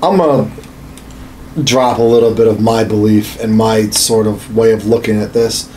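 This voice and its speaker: A man's voice: lowish voice, steady tone, moderate pace, mellow. A man in his thirties chatting casually as a podcast co-host, methodically laying out his points with some swearing. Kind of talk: casual explanatory chat. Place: small home studio room. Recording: slight background room noise.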